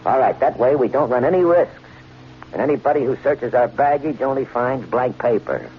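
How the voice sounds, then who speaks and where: A greedy, bossy American crook played by a man in an old radio thriller. His mid-pitched voice is animated, slangy and commanding. The recording is dull and vintage. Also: normal speed, slight background noise, lightly acted. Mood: impatient, commanding. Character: brash, bossy, greedy American swindler telling his story. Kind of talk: old-time radio drama acting and narration. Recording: muffled vintage radio, music underneath.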